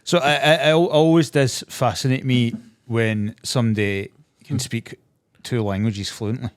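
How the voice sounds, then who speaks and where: A man's voice: medium pitch, fairly even intonation, a little slow, smooth, dry recording, studio quality. A man hosting a podcast, blunt and swearing freely as he chats and laughs. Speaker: podcast host. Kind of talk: casual podcast chat.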